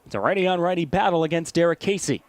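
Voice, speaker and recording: A man's voice: fairly high pitch, fast, no room sound. A man with an American accent calling baseball play-by-play as a live broadcast commentator.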